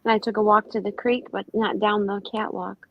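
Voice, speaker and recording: A woman's voice: mid-range voice, flat delivery, fairly quick, vocal fry, dull audio, a little hiss or hum. A middle-aged woman with a young-sounding voice, chatting on a live stream and rambling through her stories. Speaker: panel guest. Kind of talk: casual chat on a live stream. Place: indoors at home. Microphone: computer mic on a video call.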